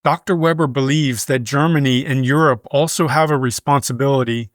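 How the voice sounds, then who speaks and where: A man reading scripted news as a newsreader, in a measured, formal manner. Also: mid-range voice, steady tone, dry recording, clean studio sound. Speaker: news reader.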